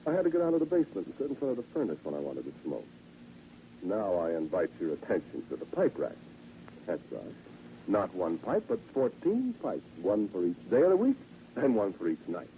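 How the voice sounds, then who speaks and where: A man's voice: low-pitched, huge pitch swings, brisk, a little hiss or hum. An actor playing a bitter, sardonic middle-aged widower who works as a salesman, in 1940s radio drama style, on a muffled vintage radio transcription. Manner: wry, taunting, chuckling to himself.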